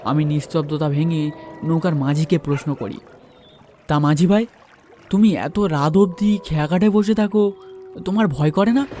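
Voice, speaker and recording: A man's voice: fairly high pitch, normal speed, pauses often, mellow, a little hiss or hum. A man narrating a first-person horror story and acting out its dialogue.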